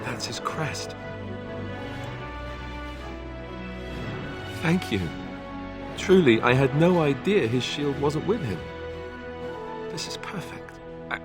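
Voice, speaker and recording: A man playing a wry, boyish, self-deprecating warrior prince in a fantasy game, talking informally and hesitantly. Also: medium pitch. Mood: moved, grateful. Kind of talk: naturalistic fantasy game acting.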